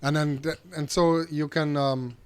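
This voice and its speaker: A man's voice: fairly high pitch, steady tone, measured pace, smooth. A man hosting a podcast and speaking as a dog trainer, talking earnestly and with strong opinions, often restarting his sentences. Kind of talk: casual interview chat.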